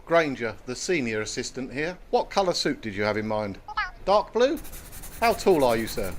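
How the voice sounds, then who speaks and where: Male actor as stern older menswear assistant, brusque but lively in pitch, radio comedy, noisy clicky recording. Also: moderate pace, natural voice. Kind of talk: comic radio play acting.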